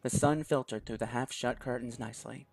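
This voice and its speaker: Gravelly man reading a story aloud. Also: mid-range voice, fairly even intonation, faint music behind. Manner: measured, storytelling. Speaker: game streamer. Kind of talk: reading a visual novel aloud.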